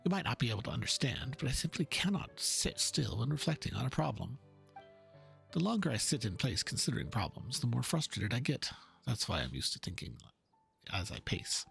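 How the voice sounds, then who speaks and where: Man acting an earnest, gravelly game painter. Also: medium pitch, big pitch swings, brisk, a bit bright, soft background music, exaggerated voice. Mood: fretful, brooding. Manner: formal, ponderous. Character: earnest, fretful male painter, polite and wordy. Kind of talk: dramatic reading of game dialogue.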